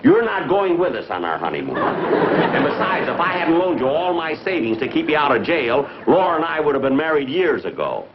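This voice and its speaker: An actor playing a thrifty, put-upon fiancé with a dry, exasperated manner in 1950s broadcast comedy style. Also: male voice, on the high side, big pitch swings, fairly quick, a crowd in the background. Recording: hissy, muffled 1950s broadcast recording.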